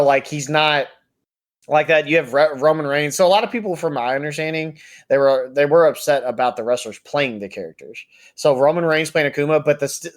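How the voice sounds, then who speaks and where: American man in casual podcast chat. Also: on the high side. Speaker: podcast co-host. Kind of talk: casual opinionated pop-culture podcast chat.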